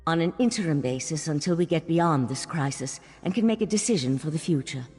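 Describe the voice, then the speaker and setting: An actress playing a solemn, dutiful mage of a secretive order in a fantasy game, earnest and measured. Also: female voice, low voice, expressive, a little mellow, husky. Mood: earnest, resolved. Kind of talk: fantasy video game voice acting. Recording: long hall reverb, music behind.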